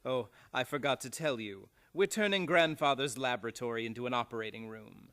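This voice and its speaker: An actor playing a menacing, domineering criminal nephew with formal, commanding speech, in an old-time radio play. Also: male voice, medium pitch, a little fast, sharp tone. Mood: coldly commanding.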